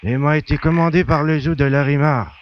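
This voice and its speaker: A man's voice: fairly high pitch, a little fast, highs cut off, slight background noise, natural voice. A man playing a forthright, matter-of-fact space hunter who pilots a transport in a science-fiction audio drama. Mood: explanatory.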